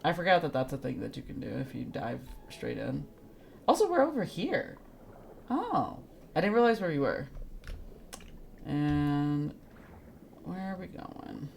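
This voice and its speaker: Low-voiced, creaky woman streamer. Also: very animated, a little slow, pauses often, darkish tone, slight background noise. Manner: informal, playful, reacting aloud. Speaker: video game streamer. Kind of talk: casual live gameplay commentary.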